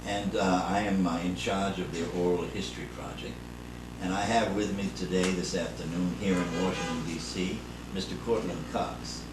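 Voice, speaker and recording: A man's voice: low voice, steady tone, normal speed. A man conducting an oral history interview, speaking formally and politely, with many fillers. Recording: echoey, distant, noisy room.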